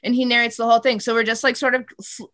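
A woman's voice: on the high side, rapid-fire. Chatty woman telling a story. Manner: animated, rambling storytelling with many fillers. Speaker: podcast co-host. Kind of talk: casual chat.

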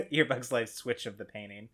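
A man co-hosting a podcast in casual comedic chat, halting and brainstorming with many fillers. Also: medium pitch, fairly bright tone. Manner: halting, lots of ums and likes, brainstorming. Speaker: podcast co-host.

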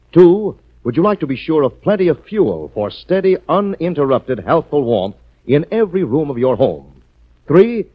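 A muffled old broadcast of a male announcer with very lively intonation. He reads in a formal, persuasive and emphatic 1940s radio manner. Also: a little high. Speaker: announcer and narrator.

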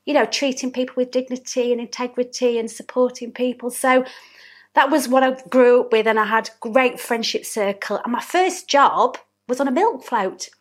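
Chatty Yorkshire woman, fairly high voice. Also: normal speed. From South Yorkshire, England. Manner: chatty, warm storytelling with frequent ums. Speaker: guest, business owner. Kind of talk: relaxed interview conversation.

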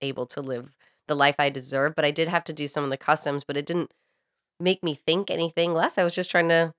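A woman's voice: deep voice, fairly even intonation, brisk, fairly bright tone, no room sound, studio quality. An American woman telling personal stories in a casual, candid, informal way, with some swearing. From Saudi Arabia, raised by a US family on a compound. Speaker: podcast co-host. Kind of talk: casual chat, personal storytelling.

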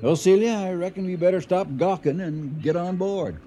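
Guy doing folksy older husband in a radio play, soft mellow voice, a bit high, wary but fond. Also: normal speed, slight background noise, lightly acted. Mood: eager, folksy. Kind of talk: 1980 radio drama acting.

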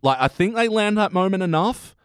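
A man's voice: high-pitched, animated, fairly quick, clear, resonant tone, dry recording, studio quality. A man hosting a podcast, chatting casually as he reviews TV episodes. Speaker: podcast host.

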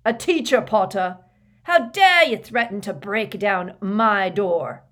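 A woman voicing a huge, gruff, grumpy male groundskeeper in an audiobook, loud and growling, with blustering, sarcastic, rustic speech. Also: fairly low pitch, big pitch swings, drawn-out words, heavily acted. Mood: roaring anger.

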